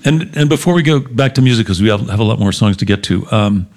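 A man with a fairly low voice hosts a music interview. He speaks conversationally as he frames questions for his guest. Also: expressive, quick pace, a little mellow. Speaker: interviewer, host.

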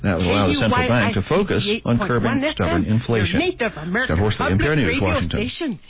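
A man reporting economic news in a very expressive scripted delivery. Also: on the low side, brisk, lo-fi sound, over a noisy crowd. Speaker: economics correspondent.

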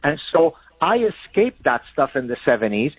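A man's voice: mid-range voice, sing-song, moderate pace, breathy edge, dry recording. Emphatic man over a tinny phone line. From Lebanon. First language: Arabic. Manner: forceful, emphatic. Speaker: guest. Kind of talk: emphatic commentary.

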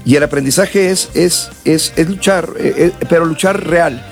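A man from Mexico and South Texas, a musician guest chatting casually, relaxed and rambling with many fillers. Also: on the high side, moderate pace, faint music behind. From northern Mexico and South Texas. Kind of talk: casual interview chat.

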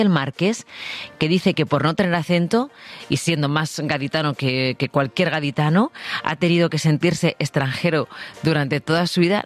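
Una mujer con acento castellano que presenta un programa de radio en tono conversacional, dirigiéndose directamente a los oyentes y recalcando palabras. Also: female voice, low voice. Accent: Castilian Spanish. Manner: addresses listeners directly, emphatic repetition. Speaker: radio show host. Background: background music.

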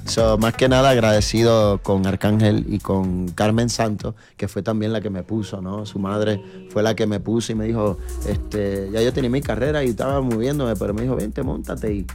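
A man's voice: lowish voice, fairly even intonation, moderate pace, few pauses, darkish tone, soft background music. A middle-aged man from New York, a reggaeton artist talking casually as an interview guest, easygoing and full of fillers. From New York, United States. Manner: easygoing, storytelling, many fillers.

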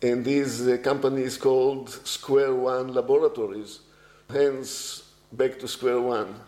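An older man from Israel, answering interview questions in a halting way with many fillers and restarts. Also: medium pitch, fairly even intonation, darkish tone, echoey room. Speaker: guest, agriculture technology executive.

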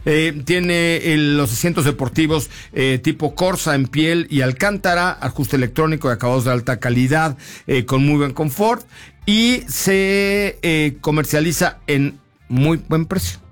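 Higher-voiced Mexican man, casual car talk. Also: a little slow, faint music behind. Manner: informal, slangy, joking. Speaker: car show host. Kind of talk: casual car review chat.

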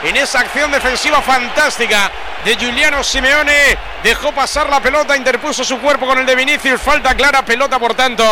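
A man with a Castilian Spanish accent giving excitable, urgent live football commentary on radio. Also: extremely high pitch, brisk. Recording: noisy outdoor live broadcast.

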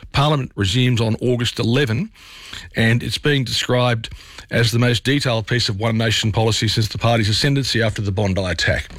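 An Australian man hosts an evening talk radio show, his mid-pitched voice a little scratchy from a chest cold. He walks listeners through the night's news in a conversational way. The sound is dry and close, straight from a studio microphone. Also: fairly bright tone, dry recording. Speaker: radio host.

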